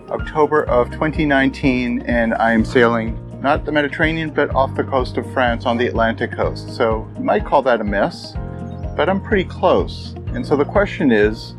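A man hosting a podcast, speaking in an upbeat, motivational way straight to his listeners. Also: mid-range voice. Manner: upbeat, motivational, direct address. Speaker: podcast host. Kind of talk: podcast monologue and interview.

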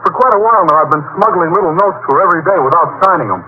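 Goofy, high-pitched lovesick schoolboy. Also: male voice, expressive. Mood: sheepish. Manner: goofy, slow-witted, ungrammatical. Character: dim-witted, lovesick high school boy athlete. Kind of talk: old-time radio comedy acting. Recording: dull, faintly noisy old radio recording.

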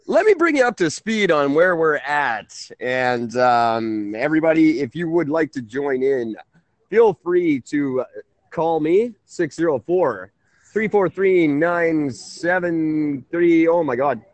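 High-pitched, animated radio host, male. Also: slow pace, no room sound. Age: 30s. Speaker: radio call-in show host. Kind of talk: conversational talk radio hosting.

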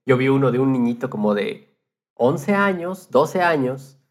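A man with a medium-pitched voice talks casually on a podcast. He speaks in an anecdotal way, retelling what others said and sprinkling in English loanwords. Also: lively intonation, measured pace. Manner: anecdotal, retells conversations in quotes.

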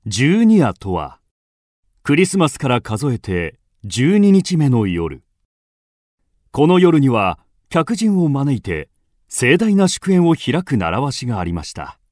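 Animated male radio drama narrator. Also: mid-range voice, slow, no room sound, studio quality. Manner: formal, expressive.

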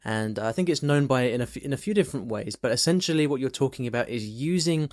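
A man's voice: mid-range voice, lively intonation, quick pace, darkish tone, no room sound, clean studio sound. A man hosting a podcast interview, recapping a listener question and asking friendly follow-ups. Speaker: podcast host.

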